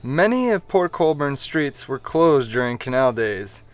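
A man's voice: medium pitch, big pitch swings, a little slow, very noisy. A man vlogging outdoors by the water, talking in a friendly, casual way. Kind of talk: casual travel vlog.

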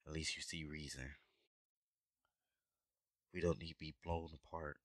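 A man voicing a gruff, protective dragon adventurer in an audio roleplay, talking urgently and with exasperation to his companion. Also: very deep voice, a bit bright, no room sound, studio quality, exaggerated voice. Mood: cautious. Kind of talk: scripted ASMR audio roleplay acting.

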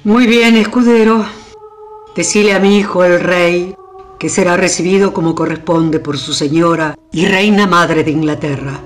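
A woman's voice: lowish voice, steady tone, a little hiss or hum, close to an everyday voice. An actress playing an imperious, nostalgic old queen mother in a radio drama, with an Argentine accent and theatrical delivery. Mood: regal, commanding. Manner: regal, slow, theatrical.

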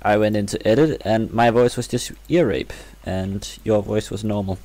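A man with a slightly low voice chats casually into his mic during a gaming session. He rambles gently and loses his thread now and then, speaking softly rather than projecting. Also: moderate pace. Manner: rambling, soft-spoken, loses track. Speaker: gaming channel co-host. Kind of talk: casual chat while playing.

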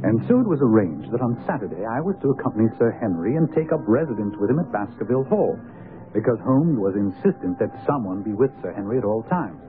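An actor playing an earnest gentleman companion who narrates his account in a radio drama. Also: lowish voice, big pitch swings, fast. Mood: grave, recounting. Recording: muffled radio broadcast with music.

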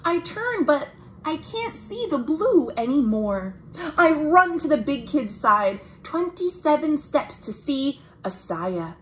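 A woman reads a picture book aloud for young children. Her voice sits fairly high and swings widely in pitch. She takes her time, phrasing each line expressively and clearly for little listeners. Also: sing-song, takes it slowly, slight background noise, low-quality recording. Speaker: children's librarian and storytime host. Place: small room at home, indoors.

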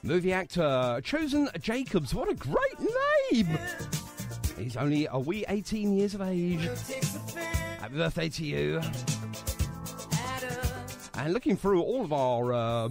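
A man's voice: high-pitched, huge pitch swings, unhurried, pauses often, over music. A man hosting a live radio show, teasing and chatty with plenty of fillers. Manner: jokey, teasing, lots of fillers. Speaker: radio host.

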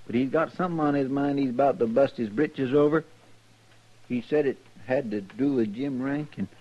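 An actor playing an eager, talkative frontier deputy with a folksy twang and rural grammar, in a 1950s radio drama. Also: male voice, medium pitch, moderate pace, a little hiss or hum, lightly acted. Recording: dull vintage radio transcription.

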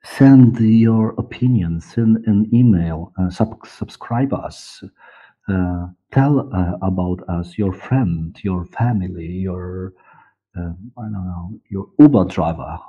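A man with a Polish accent talks conversationally as a guest in an interview. His voice is low, very dark and husky. He speaks haltingly over a slightly dull video call. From Poland. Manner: halting, searching for words. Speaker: interview guest, audiobook director and producer.

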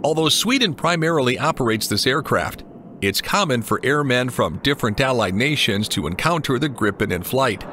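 A man reading a scripted documentary narration in an even, measured way. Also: mid-range voice, slight background noise. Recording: voice-over with clear music behind.

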